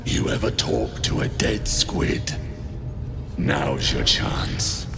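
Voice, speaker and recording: A man voicing a brutish, irascible hobgoblin war leader in a fantasy video game, with a gruff, commanding, blunt delivery. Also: extremely low pitch, sing-song, slow pace, music in the background, full character voice. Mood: gruff, impatient. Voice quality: gruff, gravelly.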